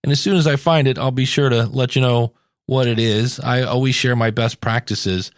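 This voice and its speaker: A man's voice: mid-range voice, fairly even intonation, normal speed, dry recording, clean studio sound. A man in his fifties hosting a podcast, talking casually and candidly in an anecdotal monologue. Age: 50s. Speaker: podcast host.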